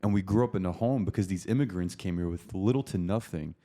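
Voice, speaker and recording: Deep, mellow-voiced man, quick storytelling guest. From Portugal. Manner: brisk and chummy, says "bro" a lot. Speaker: podcast guest, pastor. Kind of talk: casual storytelling.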